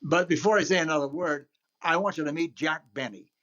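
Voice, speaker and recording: An actor playing a polite, upbeat master of ceremonies in 1930s radio show style, on a slightly dull recording. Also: male voice, fairly high pitch, brisk, a bit bright, natural voice. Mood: upbeat, presenting. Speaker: show host.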